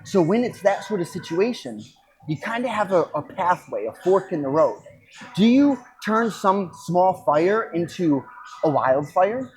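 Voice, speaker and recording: A podcast host and coach giving a casual motivational talk, speaking reflectively and emphatically, with pauses and repeated phrases. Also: mid-range voice, sing-song, a little mellow, poor audio.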